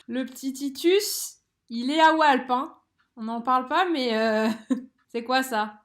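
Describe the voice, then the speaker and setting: A young woman chatting casually as a livestream host, slangy, expressive and amused. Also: high voice, slow pace, pauses often. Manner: slangy, expressive, amused, spells out internet abbreviations. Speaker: livestream host reacting to a cartoon. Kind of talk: casual reaction chat.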